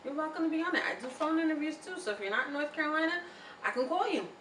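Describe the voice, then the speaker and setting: A woman's voice: high voice, racing. An upbeat, chatty woman in her thirties hosts a casual interview. Her voice comes from a distance in an echoey room with a faint hiss behind it. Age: 30s. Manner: upbeat, chatty, lively bursts of excitement. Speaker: host.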